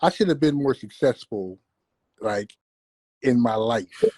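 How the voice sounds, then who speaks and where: A man's voice: mid-range voice, measured pace, darkish tone, no echo. A man in his fifties chatting casually as a guest, laid-back and slangy with self-deprecating humor. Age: 50s. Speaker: guest, longtime friend. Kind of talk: casual conversation.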